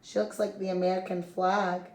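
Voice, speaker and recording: A woman's voice: fairly low pitch, flat delivery, normal speed, roomy sound. A woman reading a children's picture book aloud as a storyteller, expressively and with simple explanations for young listeners.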